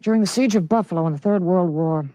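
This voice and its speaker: A man's voice: sits high, fast talker, dark tone, no echo, close to an everyday voice. A man acts out a thirteen-year-old American boy who carries a grown veteran's mind. He speaks earnestly and exactly, in a matter-of-fact way. It is vintage radio drama, recorded close and dry. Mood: earnest, insistent. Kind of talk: mid-century American radio drama acting.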